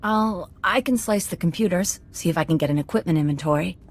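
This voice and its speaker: Game role: eager female slicer convict, fairly low voice, self-assured with a nervy edge. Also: brisk. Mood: focused.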